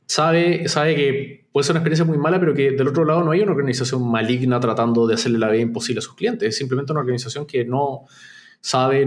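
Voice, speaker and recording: A man from Chile speaks as a podcast guest. His Chilean accent is softened toward neutral Spanish. He talks in a reflective way, often restarting his sentences, at an average pitch. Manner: reflective, frequent restarts and fillers. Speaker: startup co-founder and CEO, podcast guest. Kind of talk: casual interview conversation.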